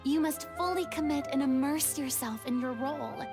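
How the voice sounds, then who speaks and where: A woman's voice: high-pitched, over music. A grand theatrical young lady. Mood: grandiose. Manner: theatrical, amused, measured. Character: grand theatrical young woman, playful and worldly. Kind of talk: video game voice acting.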